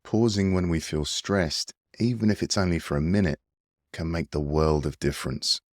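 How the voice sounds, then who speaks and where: Very deep-voiced man guiding meditation. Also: dry recording, clean studio sound. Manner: soothing, gentle, unhurried instruction. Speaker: meditation guide and mindfulness coach. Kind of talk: guided breathing meditation narration.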